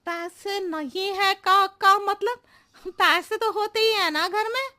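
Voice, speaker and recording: A woman voicing a scatterbrained, cheeky housemaid who talks in exaggerated, roundabout riddles for a comic animated story. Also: very high voice, takes it slowly, exaggerated voice. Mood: innocent protesting. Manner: exaggerated, circuitous riddling talk.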